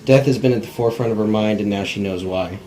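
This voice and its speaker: Casting: non-actor man as script narrator, lowish, plain, unrehearsed, slight background noise. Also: fast talker, dark tone. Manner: plain, stumbles over words. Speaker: reader of stage directions. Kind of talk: scripted narration, amateur cold read.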